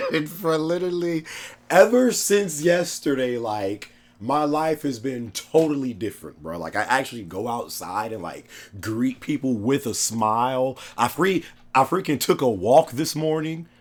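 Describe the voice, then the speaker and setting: A man with a cold, his voice hoarse, chatting excitedly about a video game on his channel, slangy and laughing often. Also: mid-range voice, measured pace. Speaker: gaming YouTuber. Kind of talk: casual gaming chat.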